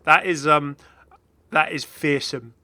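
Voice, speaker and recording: A man hosting a gaming video with casual, excited reaction commentary in a rambling, exclamatory way. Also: on the high side, slow pace, no echo. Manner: rambling, exclamatory, chuckling asides.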